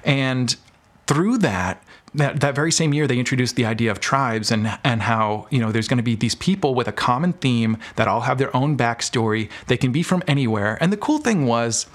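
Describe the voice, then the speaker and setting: American man, mid-range pitch, podcast guest, casual conversational storytelling, informal phrasing. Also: animated, normal speed. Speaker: podcast guest, audio drama producer. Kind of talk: casual interview chat.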